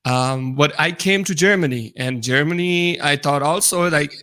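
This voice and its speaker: A man with a Pakistani accent co-hosting a conversational podcast in English as a second language, halting and restarting his words. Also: a little high, bright, forceful tone, clean tone. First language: not English. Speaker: podcast co-host. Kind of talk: conversational podcast talk about immigrant experiences.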